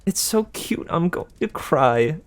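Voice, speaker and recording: A man's voice: a little high, lively intonation, takes it slowly, pitched above the performer's own voice. A man voicing a vain, doting goddess of love who sighs and talks breathily. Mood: swooning. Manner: breathy gushing, sighing.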